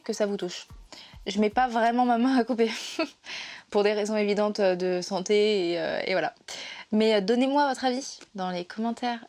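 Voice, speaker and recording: A woman's voice: on the high side, darkish tone. A woman presenting a literature vlog in a casual, playful and emotional way, often hesitating with fillers and restarts.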